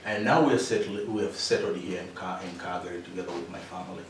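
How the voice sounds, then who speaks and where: A man's voice: low-pitched, steady tone, fast talker. A middle-aged man from Tanzania answering interview questions about his engineering career, with many fillers and restarts. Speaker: interview guest, mining engineer. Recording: echoey room, distant mic, faint noise.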